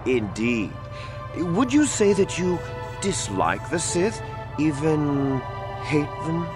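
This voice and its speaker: A pushy theatrical space prosecutor in a video game, highish voice, formal and insinuating, music behind. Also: male voice, big pitch swings, takes it slowly. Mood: probing, scornful. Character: accusing male prosecutor in a sci-fi courtroom. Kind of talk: video game voice acting, courtroom cross-examination.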